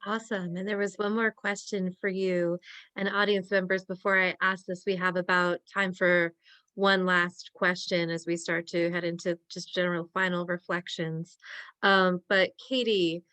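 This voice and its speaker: A woman's voice: fairly low pitch, flat delivery, moderate pace, bright, forceful tone. A woman moderating a question-and-answer session, putting questions to panelists.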